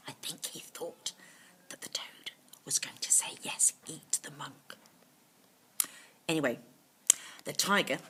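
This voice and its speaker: A woman reading a bedtime story to children, pausing to explain things simply in little asides. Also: fairly low pitch, sharp tone, slightly rough. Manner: explains words simply to young listeners.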